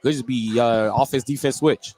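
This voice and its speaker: A man's voice: medium pitch, steady tone, normal speed, darkish tone. A man calling live basketball play-by-play for radio, describing the action in running detail. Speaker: play-by-play sports commentator. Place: courtside broadcast spot in a school gym. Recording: live gym broadcast with background noise.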